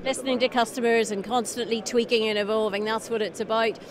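A woman's voice: on the high side, steady tone, fast talker, a crowd in the background. A woman in her thirties presenting an on-location trade show interview with a handheld microphone. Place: busy exhibition hall.

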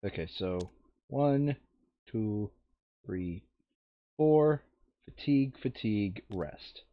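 A man's voice: lowish voice, expressive, takes it slowly, no echo, lo-fi sound. A man chatting casually through a board game, counting moves and reading out rules.

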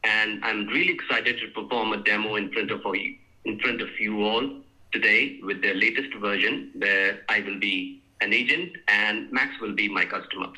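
A man's voice: lowish voice, normal speed, sharp tone, rough recording. A man from North India with an Indian accent, a voiceover artist whose first language is Hindi, introducing himself politely over a call.

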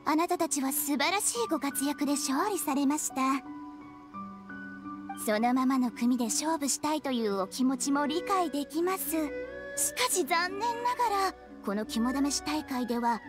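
An actress playing an eerie, courteous young shrine maiden in an anime game, formal and teasingly ominous. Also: female voice, high voice, expressive, moderate pace, over music.

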